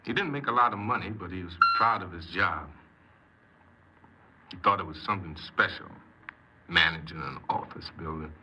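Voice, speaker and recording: An actor playing a troubled, defensive criminal confessing in a 1950s radio crime drama, speaking earnestly and haltingly as a proud hypnoanalyst. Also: male voice, deep voice, natural voice. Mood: wistful pride. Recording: very noisy, muffled 1950s radio recording.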